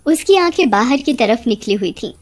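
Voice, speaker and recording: A woman's voice: on the high side, animated, normal speed. A woman storyteller reading scripted narration for a children's cartoon tale.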